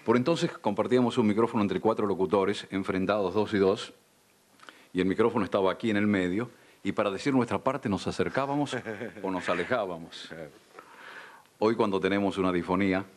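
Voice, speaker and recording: An older Argentine man, a veteran radio announcer, reminiscing in a measured, polished way in a studio interview. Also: on the low side. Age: older, around sixties. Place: dark television studio.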